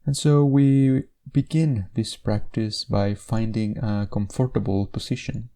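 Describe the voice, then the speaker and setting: A man guides a meditation in a lowish, relaxed voice. There is a noticeable creak in his tone. He gives each instruction in a measured way, leaving gentle pauses between phrases.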